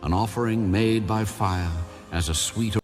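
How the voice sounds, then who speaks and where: A man narrating scripture as an audio Bible reader, in a measured, solemn, formal delivery. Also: fairly low pitch. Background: background music.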